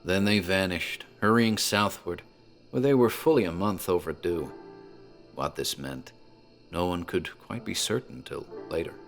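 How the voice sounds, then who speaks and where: Low male voice, actor as talkative country landlord, horror audio drama, measured storytelling, dramatic pauses, music underneath. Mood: grave, hushed. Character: talkative rural tavern landlord recounting dark local tale.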